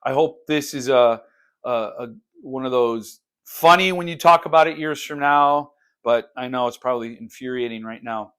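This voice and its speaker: A man hosting a book vlog, talking informally and haltingly as he thinks aloud. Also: medium pitch, a bit bright, smooth. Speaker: host of a book unboxing channel. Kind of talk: casual unscripted book vlog chat.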